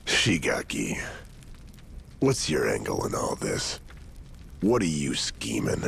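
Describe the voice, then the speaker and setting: A man playing a blunt, hot-tempered veteran Yakuza in a video game, gravelly and confrontational. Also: extremely low pitch, huge pitch swings, slow pace, fairly bright tone, slight background noise, heavily acted. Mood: stern, probing. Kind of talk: video game cutscene acting.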